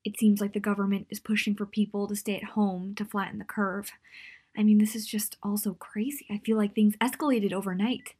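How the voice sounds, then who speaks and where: A woman's voice: medium pitch, level tone, brisk, a little mellow, slightly rough, lightly acted. A young woman playing an anxious, lonely quarantine diarist in an audio drama. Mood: uneasy. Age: young adult. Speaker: student voice actor. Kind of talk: audio diary drama acting.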